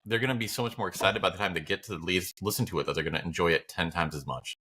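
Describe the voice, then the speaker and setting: A man's voice: low voice, rapid-fire, bright, forceful tone, husky. A man bantering casually as a podcast co-host, with a wry, playful and emphatic way of talking.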